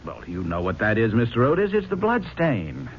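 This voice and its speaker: Courteous, earnest young lord. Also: male voice, mid-range voice, wildly swooping pitch, normal speed. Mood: polite. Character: polite young English lord, earnest fiancé. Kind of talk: old-time radio drama acting. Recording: muffled old radio broadcast, faint noise.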